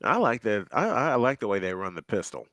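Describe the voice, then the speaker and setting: A man doing live play-by-play commentary on a football game, surging in pitch and volume on big plays and often repeating phrases. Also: sing-song, brisk, fairly bright tone, dry recording. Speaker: play-by-play announcer.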